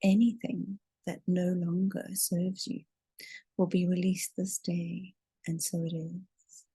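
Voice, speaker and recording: Woman, guided meditation leader, soft-edged husky voice, soothing, deliberate, close dry recording. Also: on the low side, flat delivery, no echo. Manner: soothing, deliberate, with long drawn-out counting. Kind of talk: slow guided meditation narration.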